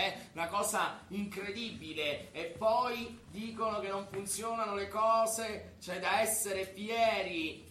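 An actor playing an anxious, sarcastic, pompous journalist in a theatrical comedy. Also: male voice, high voice, bright, forceful tone. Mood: loud sarcasm. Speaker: stage actor. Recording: echoey, noisy theatre, distant mic.

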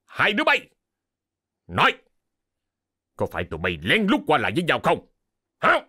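A man's voice: mid-range voice, wildly swooping pitch, moderate pace, a bit bright, slightly rough, dry recording, studio quality, heavily acted. A man voicing a furious, cruel rural landlord and father in an audio story, barking threats. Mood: enraged. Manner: roaring, threatening, barked commands.

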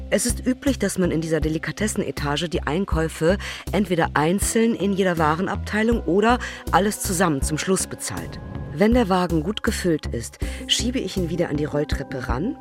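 A woman acts a brazen young Polish art student in a radio play. She tells things in a measured, matter-of-fact way as a first-person narrator. Music plays clearly behind her. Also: fairly low pitch, close to an everyday voice.

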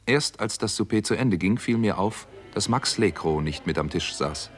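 A man acting a sober doctor who narrates his own murder case. His voice is very low and his telling is measured and dramatic, in the manner of a 1960s German radio thriller. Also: lively intonation, close to an everyday voice. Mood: reflective, measured. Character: American doctor Steve Mason, sober crime-story narrator. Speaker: first-person narrator and lead actor.

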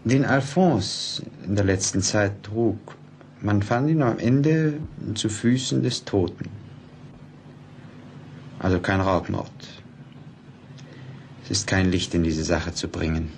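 An actor in a German radio play, playing a grave, measured man who soberly reports on a mysterious death. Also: lowish voice, lively intonation. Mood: sober, reporting. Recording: noisy old radio play recording.

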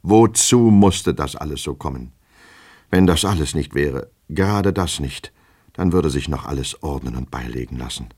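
A man voicing an anxious, flustered gentleman from a storybook, agitated and repeating himself as he despairs. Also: very deep voice, animated, normal speed, slightly rough, heavily acted. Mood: agitated, indignant. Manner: repetitive, stammering, agitated, talking to himself. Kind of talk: dramatic audiobook character reading.

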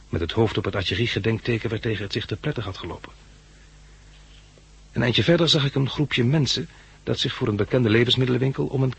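Serious survivor guy in old radio play, very husky, bit of gravel, measured telling. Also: mid-range voice, a bit bright, full character voice. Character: sober male survivor narrating his bleak memories. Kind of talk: scripted narration in 1960s Dutch radio drama.

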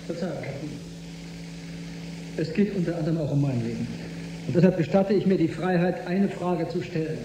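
A man's voice: a little high, a little fast, long pauses, lightly acted. An actor playing a defiant, sceptical crewman in a radio drama, sarcastic and pointedly formal. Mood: insistent. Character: defiant, sceptical male crewman suspected as company spy. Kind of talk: German radio drama acting. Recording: very echoey room with clear hum.

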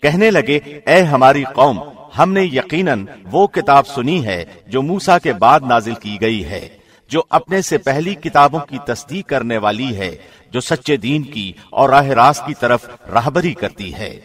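A man reading a scripture translation in a scripted, measured radio-presenter style. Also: medium pitch, expressive, fairly bright tone. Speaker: translation reader, radio host.

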